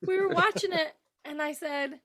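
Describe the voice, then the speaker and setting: A woman talks casually as a podcast panelist in a high-pitched voice. Loud voices of a group crowd in behind her. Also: moderate pace. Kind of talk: casual chat.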